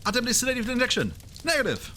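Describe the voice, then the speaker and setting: A man's voice: extremely high pitch, huge pitch swings, quick pace, sharp tone, a little hiss or hum, exaggerated voice. A man playing a panicked, urgent lab technician in an English fan dub.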